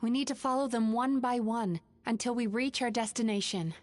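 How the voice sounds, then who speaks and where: Actress as a high-voiced, earnest young heroine. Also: female voice, normal speed, dry recording, natural voice. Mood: calm, explanatory. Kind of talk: video game voice acting. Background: background music.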